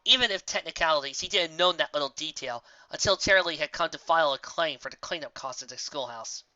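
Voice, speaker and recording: A woman's voice: sits low, lively intonation, fast, bright, forceful tone, no echo. A woman reading a story as scripted narration, on a dull lo-fi recording. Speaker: audiobook reader of fan fiction.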